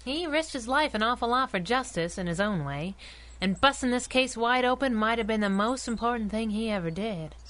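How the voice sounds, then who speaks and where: Sassy young superhero sidekick. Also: female voice, fairly high pitch, expressive, fairly quick, fairly bright tone. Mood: wry, playful. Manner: wisecracking, slangy, coy. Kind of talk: 1930s-style radio drama acting.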